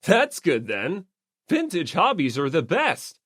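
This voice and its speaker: A performer doing an excitable, dim young guy. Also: mid-range voice, takes it slowly, dry recording, studio quality, heavily acted. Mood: pleased realization. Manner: clueless, emphatic. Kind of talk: audiobook character acting.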